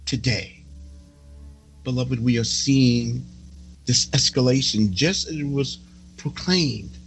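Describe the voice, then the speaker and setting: Man, high-pitched swinging delivery, solemn religious reading, deliberate and emphatic, formal archaic phrasing. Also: fairly bright tone, slightly rough. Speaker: religious reader delivering a prophecy report. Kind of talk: solemn sermon-like reading of a prophecy.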